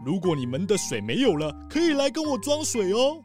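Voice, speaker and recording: A big good-natured bear fellow, slow-witted and proud of his strength acted by a woman, in a children's radio mystery. The pitch swings widely, with faint music underneath. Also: wildly swooping pitch, a little mellow. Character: big strong simple-minded bear, gluttonous and good-natured male. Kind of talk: children's mystery audio drama.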